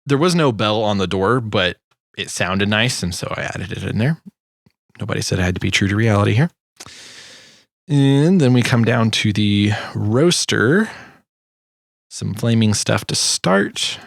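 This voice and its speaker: A man with a low voice and plenty of vocal fry talks through his work as a sound designer. He is informal and self-deprecating, like someone giving a relaxed screen-share tutorial. The recording is dry, with no room sound. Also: a little slow, pauses often, no echo. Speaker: sound designer presenting own project.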